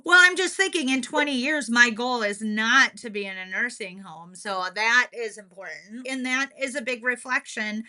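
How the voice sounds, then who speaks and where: A woman's voice: a little high, moderate pace, hardly any pauses, sharp tone. A woman co-hosting a podcast in a casual, reflective chat, agreeable and a little self-deprecating. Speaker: podcast co-host.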